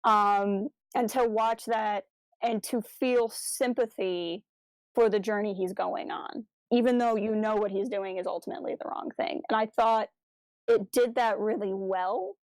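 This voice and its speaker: A woman's voice: mid-range voice, fairly even intonation, measured pace, no room sound, poor audio. A woman co-hosting a podcast in casual discussion, thinking aloud in hesitant, broken phrases. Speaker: podcast co-host. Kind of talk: casual discussion of a fan audio drama review.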